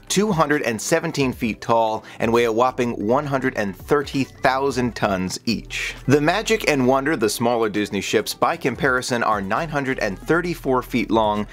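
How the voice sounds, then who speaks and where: A man in his thirties narrating a scripted travel vlog from his home office, upbeat and enthusiastic, seated at a desk camera. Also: medium pitch. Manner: upbeat, enthusiastic, smiling delivery. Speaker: vlogger.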